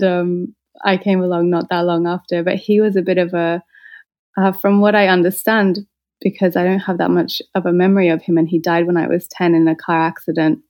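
Reflective, lower-voiced woman telling her story. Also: a little mellow. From born in Austria, moved to Australia as a child. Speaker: interview guest. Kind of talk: personal storytelling in a conversational interview.

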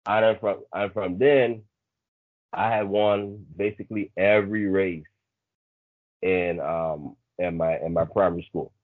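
A man's voice: deep voice, fairly even intonation, measured pace, no echo, narrow, tinny audio. A man in his thirties with a Bahamian accent, chatting casually and telling stories as a coach guest over a thin call line. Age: 30s. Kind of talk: casual storytelling chat.

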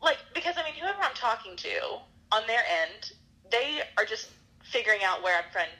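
A woman's voice: fairly high pitch, big pitch swings, normal speed, sharp tone, rough recording. A woman from Alabama, chatting casually and reflectively, laughing often. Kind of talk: casual chat.

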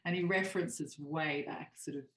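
A guest author talks in a meandering, hedging way, dotting sentences with "sort of" and "um". The delivery stays flat and even in pitch. The voice echoes as if in a bare room. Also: normal speed. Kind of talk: reflective interview conversation. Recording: roomy echo.

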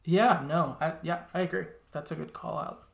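Gravelly woman chatting in an echoey room. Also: low voice, steady tone, moderate pace. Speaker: podcast co-host discussing video games. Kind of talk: casual chat, game review. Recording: roomy, echoing sound.

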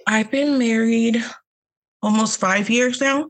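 A young woman with a Honduran accent talks slowly and unhurriedly as a podcast guest. She pauses often and leans on fillers, and laughter keeps slipping into her casual chat. Her pitch sits in an ordinary middle range. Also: fairly even intonation.

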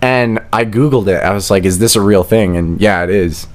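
Low-voiced young man chatting casually. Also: normal speed. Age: young adult. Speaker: podcast guest. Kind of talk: casual podcast chat.

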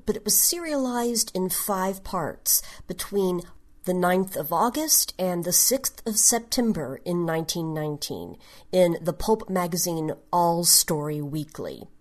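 A woman gives a prepared podcast talk as a segment presenter. She speaks slowly and explains carefully, in a slightly low voice. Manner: measured, explanatory. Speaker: genre history segment presenter.

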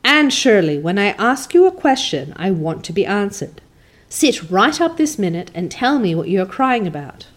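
A woman's voice: mid-range voice, sing-song, moderate pace, close to an everyday voice. A woman playing a stern older guardian. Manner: curt, scolding, dry.

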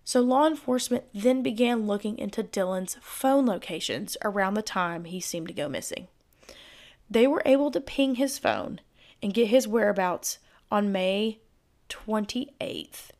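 Woman, hoarse gravelly voice, chatty true crime host with speculative asides, middle pitch. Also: lively intonation, a little slow, darkish tone. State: hoarse, voice giving out. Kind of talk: casual storytelling narration.

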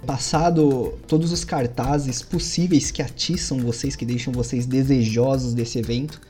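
A game master narrating a tabletop game, relaxed and adding joking asides. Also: medium pitch, normal speed, faint music behind.

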